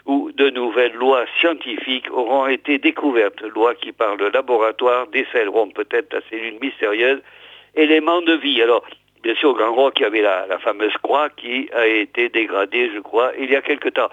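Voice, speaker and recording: A hoarse man hosting a regional radio show, hesitantly introducing and reading out old press articles with many fillers and restarts. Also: mid-range voice, no echo. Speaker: radio host. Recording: tinny phone-like radio sound, dry.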